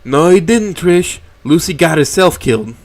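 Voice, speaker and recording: A man doing a loud New York Tyrannosaurus, a jolly, blustering boss who talks in a high, bellowing voice. He brushes off every complaint in a casual, dismissive way. This is storybook-style voice acting. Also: animated, normal speed. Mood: stern. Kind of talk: reading a fan story aloud.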